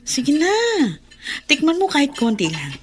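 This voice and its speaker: A woman's voice: high-pitched, very animated, a little slow, a little hiss or hum. An actress playing a wronged wife and mother in a Filipino radio drama, melodramatic and pleading, with a Filipino accent. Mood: gentle coaxing. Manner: tearful, melodramatic delivery.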